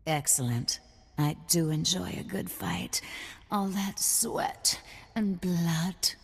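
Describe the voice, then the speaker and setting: A woman playing a sultry, sadistic video game crime lady with a languid, teasing, drawn-out delivery. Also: fairly low pitch, breathy edge, in a large hall, full character voice. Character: sultry, sadistic crime lady who relishes blood sports.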